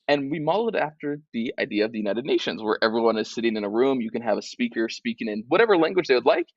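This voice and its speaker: A man appearing as a guest from a tech company, pitching his product in an upbeat, animated way and repeating words as he goes. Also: no room sound, muffled recording.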